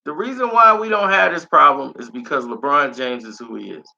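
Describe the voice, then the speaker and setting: A man with a slightly hoarse, raspy voice talks sports in loose slang, sharp and bright in tone with a gravelly edge. The recording sounds dull and lo-fi. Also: on the high side, brisk. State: recovering from illness, slightly hoarse. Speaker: sports commentary vlogger. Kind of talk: casual opinion chat.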